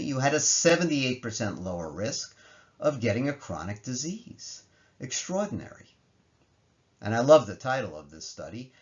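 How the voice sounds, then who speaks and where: A man's voice: on the low side, a bit bright, lo-fi sound. A man narrating an explanatory slide lecture in a conversational way, posing questions and answering them.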